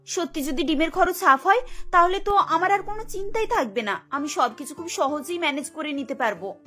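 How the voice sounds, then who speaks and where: Woman as a young cartoon daughter-in-law, high-pitched, bright, earnest, light music behind. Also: expressive, fairly quick. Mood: hopeful, excited. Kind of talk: cartoon voice acting.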